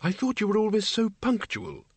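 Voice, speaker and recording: A man's voice: high voice, animated, normal speed, gravelly, breathy edge, dry recording, pitched above the performer's own voice, full character voice. A man voicing a frail old undead man, hesitant, querulous but kindly, as an audiobook character. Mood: wistful.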